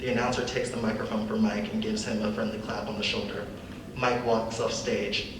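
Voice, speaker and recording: A man's voice: on the low side, level tone, brisk. A young man reading stage directions as scripted narration for a dramatic reading. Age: young adult. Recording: echoey hall from far off, noisy.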